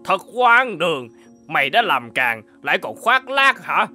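High, excitable cartoon mandarin. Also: male voice, unhurried, faint music behind, exaggerated voice. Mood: indignant. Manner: indignant, blustering, exclaiming. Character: pompous, excitable middle-aged male court mandarin. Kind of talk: animated folk-tale cartoon acting.

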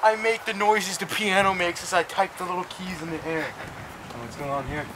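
A man's voice: high-pitched, a little fast. A young man in casual, teasing chat, filmed on a handheld camera around a campus. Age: young adult. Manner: goofy, teasing, deadpan jokes. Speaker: neighbor being filmed. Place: college dorm hallway and campus, outdoors and dining hall. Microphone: handheld camera held by companion. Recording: camera audio with loud background noise.

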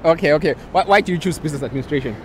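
A man's voice: on the high side, racing. A man in his twenties presenting a casual vlog and doing playful, teasing interviews outdoors with a handheld mic. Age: 20s. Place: open beach, outdoors. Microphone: small handheld mic. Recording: outdoor wind and ambient noise.

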